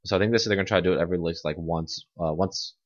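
A man's voice: low voice, very fast. A man co-hosting a podcast, chatting casually with lots of fillers and the occasional laugh. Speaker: podcast co-host. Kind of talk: casual chat. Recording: dull lo-fi audio.

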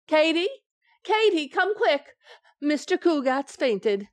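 An actress playing a playful, teasing wife who coaxes and soothes in an old-time radio sitcom. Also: female voice, sits high, sing-song, slow pace, heavily acted. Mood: alarmed. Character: playful, teasing young wife, coaxing and unflappable.

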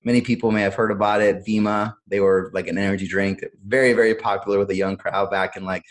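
Earnest low-voiced man in his thirties. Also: steady tone, fast. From born in Honduras, raised in Cleveland, Ohio. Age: 30s. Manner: earnest, full of "uh" and "um", repeats words. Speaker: podcast guest. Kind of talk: candid conversational storytelling.

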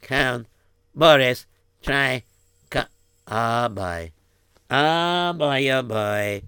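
A man's voice: medium pitch, very slow, pushed and bright, clean tone, dry recording, clean studio sound, full character voice. A man voicing a humble young religious student who chants in a sing-song and answers with hesitant stammers. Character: humble young male yeshiva student, devout singer.

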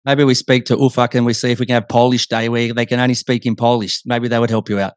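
A man's voice: mid-range voice, flat delivery, fast, no echo, studio quality. A man hosting a casual sports chat, putting questions to his guest as interviewer. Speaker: interview host.

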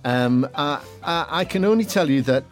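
A man's voice: on the high side, animated, normal speed, soft background music. A man dodging questions as an interview guest, evasive and giggly. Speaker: actor guest.

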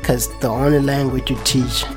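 A man from South Sudan, whose first language is not English, chatting as a guest in a relaxed, slangy way that keeps searching for words. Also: mid-range voice, normal speed. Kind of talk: casual conversation. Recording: music playing loudly behind.

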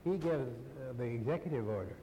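A man's voice: mid-range voice, lively intonation, fast, dark tone, big-room sound, slight background noise, rough recording. An older man, a historian on a panel, who speaks plainly and firmly. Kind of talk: panel discussion. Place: large hall.

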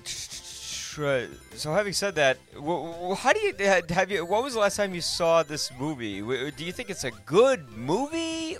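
A man hosting a podcast in a casual, rambling way, often halting and correcting himself. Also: on the high side, a bit bright. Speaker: podcast host.

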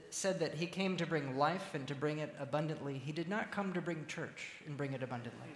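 A man preaching a sermon as a church minister, speaking in measured, deliberate phrases with pauses. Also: on the high side, fairly bright tone. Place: large reverberant church interior. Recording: echoey, reverberant hall with faint noise.

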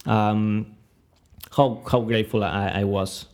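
A man's voice: lowish voice, steady tone, slow, a little mellow. A man of twenty-nine from Argentina with a Spanish-speaking accent, giving reflective interview answers and often searching for words. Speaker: podcast guest.

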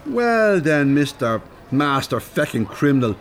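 An actor playing a chatty older Irish chancer in a radio drama, colloquial and teasing. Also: male voice, a little high, sing-song, unhurried, slight background noise. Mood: needling. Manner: colloquial, teasing, swears mildly. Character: chatty, cheeky older Dublin chancer, male.

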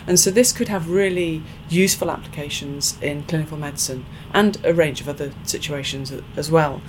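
A woman scientist answering interview questions in a podcast, thoughtful and earnest, pausing on fillers as she explains. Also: low voice, slight background noise, rough recording. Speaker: scientist and professor being interviewed.

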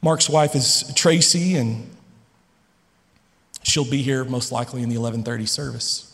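A man preaching a sermon to his congregation, earnest and emphatic, with dramatic pauses. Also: mid-range voice, fairly bright tone, long reverb tail. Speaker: pastor. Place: large church hall.

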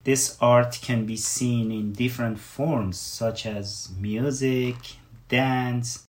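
A man in his forties from Afghanistan, a guest answering interview questions with many pauses and fillers. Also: medium pitch, fairly even intonation. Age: 40s.

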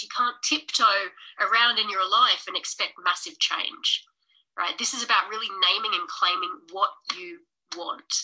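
A woman's voice: medium pitch, sharp tone, rough recording. A woman hosting a business podcast live stream, talking casually and enthusiastically to her viewers, a little nasal and snuffly from a cold. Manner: enthusiastic, chatty, addresses viewers as guys. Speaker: podcast host and business coach.

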